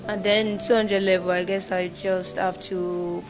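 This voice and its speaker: A young Nigerian woman, a university student, talking casually in an interview and acting out shouted quotes. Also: fairly low pitch, unhurried, music in the background. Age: young adult. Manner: animated, acts out shouted quotes.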